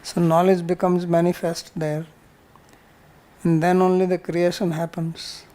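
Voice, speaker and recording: A man's voice: high voice, little pitch movement, a little slow, dark tone. A man with an Indian accent teaching a scripture class, explaining patiently with simple examples and repetition. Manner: didactic, repeats points, uses simple examples. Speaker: spiritual teacher.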